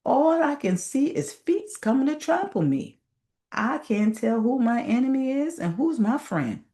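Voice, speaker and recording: Woman voicing a plaintive, high-pitched folksy snake. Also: sing-song, measured pace, mellow. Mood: plaintive self-defense. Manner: plaintive, folksy Southern dialect. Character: put-upon male snake pleading his case to God.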